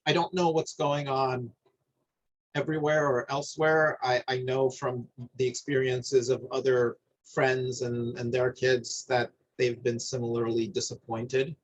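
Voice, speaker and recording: A middle-aged man from New Jersey talking on a video call, halting and repeating words while he searches for them. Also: mid-range voice, little pitch movement, slight background noise. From New Jersey, USA. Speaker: interviewer. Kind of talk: thoughtful conversation on a video call. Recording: dull lo-fi video call audio.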